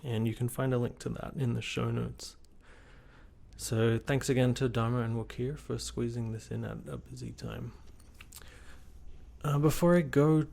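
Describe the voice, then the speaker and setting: A man's voice: medium pitch, a little mellow. A man hosting a podcast and chatting casually, feeling his way hesitantly through what he says. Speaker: podcast host, interviewer. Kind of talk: casual interview chat. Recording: clear traffic and ambient noise behind.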